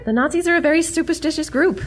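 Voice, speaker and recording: A woman's voice: high voice, quick pace, natural voice. A woman playing an earnest, bookish young heroine who explains things in a 1940s-style radio adventure.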